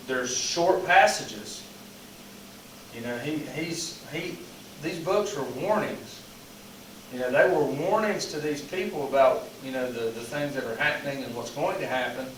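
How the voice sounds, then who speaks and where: A hoarse man, a pastor casually leading a Bible study, talking in rambling sentences full of "you know". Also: mid-range voice, moderate pace, slight background noise. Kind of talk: casual teaching and group discussion. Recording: echoey room, mic at a distance.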